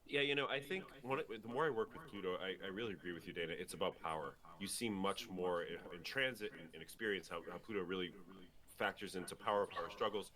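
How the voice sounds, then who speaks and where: A man's voice: sits low, quick pace, sharp tone. A man guesting as an astrologer, talking thoughtfully and often restarting phrases as he searches for words. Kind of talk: casual discussion.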